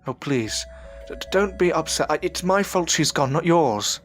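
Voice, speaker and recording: A man's voice: on the high side, lively intonation, normal speed, a bit bright. An actor playing a polite, anxious young man with a hesitant manner in an audio drama. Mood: flustered. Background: music.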